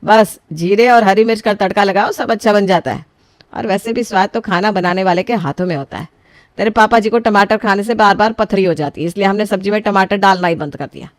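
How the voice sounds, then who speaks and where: A woman's voice: mid-range voice, fairly quick, dry recording, close to an everyday voice. A woman voicing a scolding mother-in-law. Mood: lecturing. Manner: scolding, bossy, calls everyone beta. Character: strict frugal middle-aged mother-in-law, scolding. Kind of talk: scripted family story voicing.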